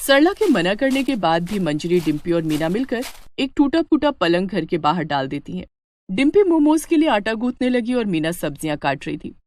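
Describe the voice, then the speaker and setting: A woman narrating a scripted moral story in an expressive storytelling manner. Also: medium pitch, normal speed, slight background noise.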